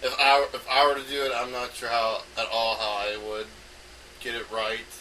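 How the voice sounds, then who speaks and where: A man giving an unscripted, rambling explanation as an amateur game designer, halting often as he thinks aloud. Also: mid-range voice, little pitch movement, pushed and bright. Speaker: amateur game designer recording an audio log. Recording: noisy home recording, distant from mic.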